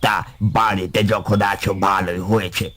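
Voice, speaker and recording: A man's voice: on the low side, steady tone, moderate pace, sharp tone, a little hiss or hum. A man playing a threatening, overbearing village moneylender in a cartoon, bullying and accusatory. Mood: menacing. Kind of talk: cartoon voice acting.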